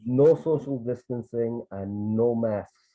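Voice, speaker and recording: A man's voice: mid-range voice, slow pace, dark tone. Scottish man chatting over a tinny call. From Scotland. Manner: chatty, with many restarts and asides. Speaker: tour guide and photographer. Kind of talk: casual storytelling on a video call. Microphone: video call. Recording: tinny phone-like video call audio.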